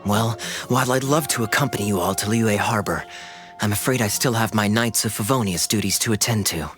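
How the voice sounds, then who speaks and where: A man playing a suave, courteous young knight captain in a video game, with a smooth and playful manner. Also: fairly low pitch, a little fast, music in the background, natural voice. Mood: thoughtful. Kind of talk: animated video game voice acting.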